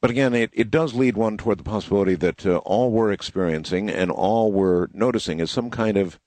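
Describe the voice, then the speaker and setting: A man hosting a late-night call-in radio show, musing slowly on callers' stories. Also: lowish voice, a little mellow, no room sound. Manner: measured, musing, takes calls. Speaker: radio talk show host.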